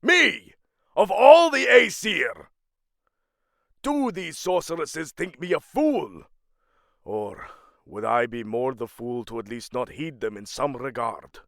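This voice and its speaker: A man's voice: a little high, lively intonation, slow pace, creaky, fairly bright tone, dry recording, studio quality, full character voice. An actor playing a proud, aging king of the Norse gods in a fantasy audio drama, grandiose and commanding. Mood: scornful, brooding. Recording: music under the voice.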